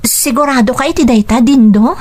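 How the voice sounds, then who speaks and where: An actress playing a gentle young woman teacher in love with a widower, in an Ilocano radio drama. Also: high voice, a little fast. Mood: caring, hesitant. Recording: music bed under dialogue. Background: music.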